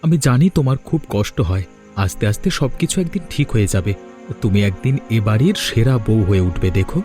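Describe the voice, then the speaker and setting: A man voicing a caring young husband in a cartoon, speaking in a calm, earnest and reassuring way. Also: mid-range voice, a little fast, over music, natural voice. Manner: consoling, earnest. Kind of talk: cartoon voice acting.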